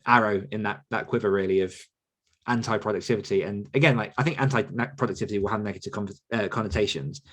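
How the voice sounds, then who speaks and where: A man's voice: on the low side, quick pace, a bit bright. A man hosting a podcast, answering questions casually and thoughtfully, feeling his way through sentences and correcting himself. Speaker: podcast host and author coach answering questions. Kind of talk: casual, reflective Q&A talk.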